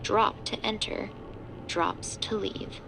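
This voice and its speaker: A woman's voice: medium pitch, steady tone, slow, noisy background. A woman reading scripted narration for a horror audio drama. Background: background music.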